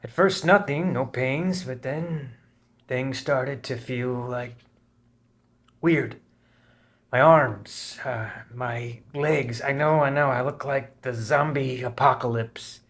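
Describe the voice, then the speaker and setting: An actor playing a frail, ashamed out-of-work actor, who speaks haltingly in a table read. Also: male voice, medium pitch, lightly acted. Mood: weary. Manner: halting, hesitant.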